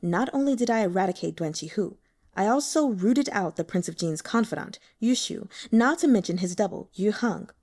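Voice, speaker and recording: A woman voicing a calm, shrewd, frail young male strategist who speaks in a measured, guarded way. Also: mid-range voice, lightly acted.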